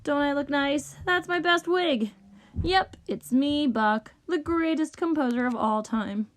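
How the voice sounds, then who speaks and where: A woman's voice: high voice, unhurried. A woman voicing a vain, boastful male composer who narrates his own storybook tale pompously. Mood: smug. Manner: pompous, self-satisfied.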